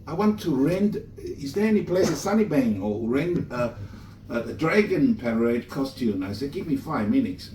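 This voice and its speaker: A man's voice: medium pitch, lively intonation, normal speed, darkish tone. A man from Malaysia hosts a book launch, telling anecdotes in a chatty way with slightly non-native grammar. His mid-range voice is picked up from a distance, and the room echoes. A faint hum sits behind it. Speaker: master of ceremonies. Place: bookshop event room. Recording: roomy, from a distance.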